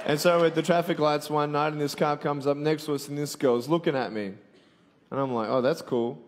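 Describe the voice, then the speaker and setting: A man's voice: a little high, steady tone, brisk, a little hiss or hum. A man in his twenties with an Australian accent, giving a joking, motivational sermon to a live audience and calling for responses. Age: 20s. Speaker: evangelist speaker. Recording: long hall reverb.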